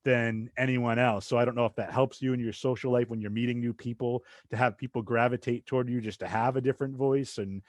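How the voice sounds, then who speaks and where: Brief: male podcast host, mid-pitched, light Massachusetts accent, rambling casual delivery, dry close sound. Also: steady tone, fast, hardly any pauses, no room sound. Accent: light Massachusetts, drops R's when fast. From southeastern Massachusetts. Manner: rambling, loose, full of asides. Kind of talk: casual interview chat.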